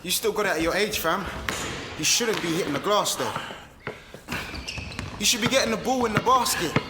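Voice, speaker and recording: A man's voice: sits high, expressive, moderate pace, noisy background. A man playing a cheeky, slangy, argumentative young London son in a radio drama. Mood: cheeky, teasing. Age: young adult.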